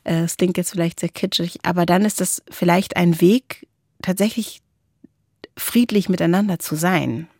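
Low-voiced woman, reflective talk. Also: normal speed, darkish tone, dry recording, studio quality. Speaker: podcast co-host. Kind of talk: reflective conversational philosophy talk.

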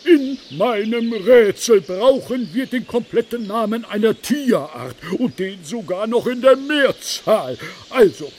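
A man voicing a pushy, haughty frog king in a children's radio play, with an insistent, drawn-out delivery. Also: sits high, animated, darkish tone, a little hiss or hum. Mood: riddling. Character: pushy frog king, hungry, demanding marriage.

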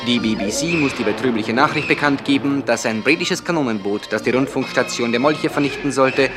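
An actor playing a grave, official British radio newsreader, reading a formal bulletin briskly in a radio play. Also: male voice, medium pitch, over loud music, close to an everyday voice.

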